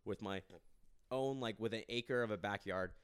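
A man's voice: on the low side, normal speed, smooth, no echo. A man hosting a podcast, chatting casually and telling stories while acting out the people he quotes. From Arizona. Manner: storytelling, acts out quoted people. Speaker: podcast host and stand-up comedian.

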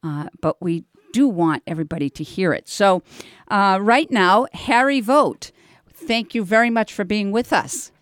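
A woman radio host speaks at a middling pitch in a dry, close studio sound. Her delivery is informal and effusive, rambling along as she improvises a live community program. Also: lively intonation, measured pace, no echo.

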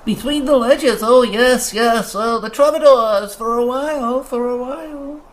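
A man's voice: very high-pitched, unhurried, a little hiss or hum, pitched above the performer's own voice, poor audio. A man voicing a fearful, hysterical floating creature that repeats its own words in a wavering voice. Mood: evasive. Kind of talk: audiobook character voice.

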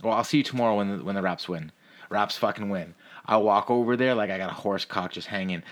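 A man with a fairly low voice talks casually as a sports podcast co-host. He tells stories in loose, sweary language and acts out both sides of conversations. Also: fast talker. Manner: profane, animated storyteller who acts out dialogue. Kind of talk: casual chat, storytelling.

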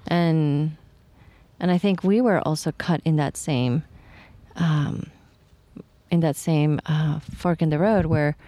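A woman from Ecuador co-hosts a podcast with a low, mellow voice. She speaks thoughtfully and restarts her phrases now and then. The recording is dry and close. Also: dry recording. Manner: thoughtful, with false starts and frequent agreement. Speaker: podcast co-host. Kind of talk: casual podcast conversation.

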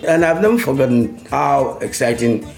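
An older veteran musician from Ghana, reminiscing in an interview. He talks excitably and repeats words while searching for them. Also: mid-range voice, moderate pace, creaky, soft background music.

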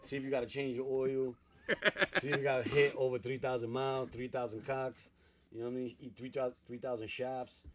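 A man's voice: medium pitch, little pitch movement, fairly quick, slight background noise. A man co-hosting a casual podcast, laid-back and boastful as he tells crude stories. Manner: laid-back, boastful storytelling, breaks into laughter. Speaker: podcast co-host.

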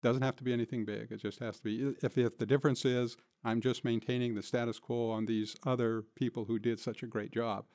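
A man's voice: medium pitch, level tone, a little fast, hardly any pauses, darkish tone, no room sound. An older man answering interview questions as a guest in a conversational, reflective and plain-spoken way, recorded in a studio. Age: older, nearing retirement. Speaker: interview guest, city manager.

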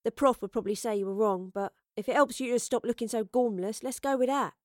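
A woman's voice: on the high side, quick pace, no echo, close to an everyday voice. A brash young girl, voiced by a woman narrator in an adventure audiobook. She talks in a blunt, slangy, chirpy way and loves to tease. The sound is dry. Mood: teasing. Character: brash, cheeky, teasing young girl time traveller.

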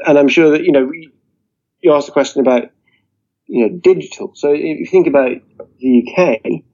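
Man, interview guest, earnest, argumentative, mellow, dull lo-fi sound. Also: mid-range voice. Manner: earnest, argumentative, leans on "you know". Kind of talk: political discussion. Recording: dull lo-fi audio.

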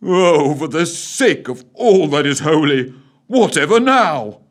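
A man's voice: fairly high pitch, very animated, takes it slowly, pitched above the performer's own voice, heavily acted. A man doing a whiny melodramatic old lord. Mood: exasperated. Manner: moaning, self-pitying.

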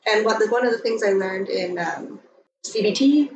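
A woman's voice: medium pitch, moderate pace, background noise. A woman in her thirties hosting a podcast interview, joking and laughing, and supportive of her guest. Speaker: podcast host. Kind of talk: casual interview chat. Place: home room with a dog. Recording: roomy, distant, dull sound.

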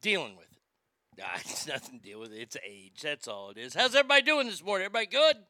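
A man's voice: sits high, wildly swooping pitch, fast talker, sharp tone, slight background noise. A man in his fifties hosting a morning radio show with loose, crude, joking banter. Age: 50s.

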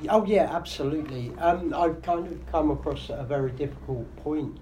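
Man with a mellow voice, chatting casually. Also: on the high side, moderate pace, rough recording. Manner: casual and thoughtful with many fillers. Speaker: comedian and radio host. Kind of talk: podcast interview. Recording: live room with faint noise.

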